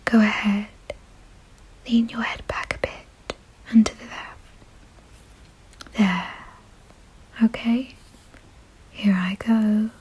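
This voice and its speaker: A woman playing a kind, doting aristocratic vampire in an ASMR roleplay, in a soft, breathy whisper with a gentle, genteel, reassuring manner. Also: mid-range voice, steady tone, slow, pauses often, slight background noise, lightly acted, low-quality recording. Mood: calm, reassuring.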